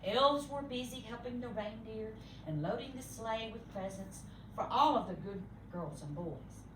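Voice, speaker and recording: A woman reading a children's storybook aloud expressively, with theatrical, deliberate emphasis for young listeners. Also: fairly high pitch, animated. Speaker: storybook reader. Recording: echoey room, distant mic, faint noise.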